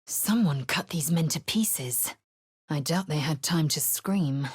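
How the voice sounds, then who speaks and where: Blunt githyanki warrior woman, husky and low, slow clipped delivery, dry studio sound. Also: no echo, heavily acted. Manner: clipped, commanding, often low and hushed. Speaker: game character. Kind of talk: studio game voice acting.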